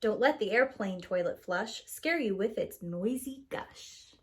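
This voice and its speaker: Slow-paced woman reading a children's story. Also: medium pitch, lively intonation. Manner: acts out sound words, rhythmic rhyming delivery. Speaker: preschool teacher reading a picture book aloud. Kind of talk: expressive children's story read-aloud.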